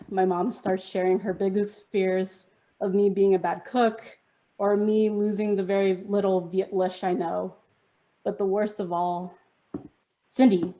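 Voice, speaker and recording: A young woman performing a spoken word poem in an intimate, measured delivery over a video call. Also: mid-range voice, mellow.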